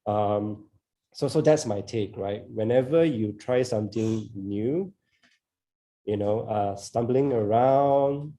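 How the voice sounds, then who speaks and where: A man from Singapore with a Singaporean accent, sharing his thoughts in a relaxed group conversation on a video call, often laughing and filling pauses. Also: lowish voice. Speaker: co-organiser of a discussion group.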